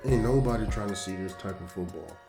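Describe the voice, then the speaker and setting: Young male gaming commentator, losing his voice, very low pitch, casual slang; roomy echo with background music. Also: a little fast, creaky. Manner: casual, slangy, frustrated and animated. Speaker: gaming YouTuber. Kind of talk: gameplay commentary.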